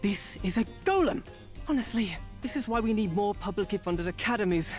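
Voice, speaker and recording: An actor playing a pompous, condescending self-styled scholar of golems in a video game, speaking theatrically. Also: male voice, high voice, animated, fairly quick, darkish tone, faint music behind. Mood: exasperated, pompous. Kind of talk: video game character acting.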